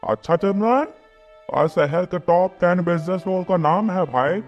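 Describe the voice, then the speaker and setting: A man plays a disgraced village headman from a comic cartoon, blustering and exasperated. His voice is on the high side, quick to scold and grumble, over faint music. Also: muffled recording. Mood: bitter. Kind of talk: Hindi comic cartoon dialogue.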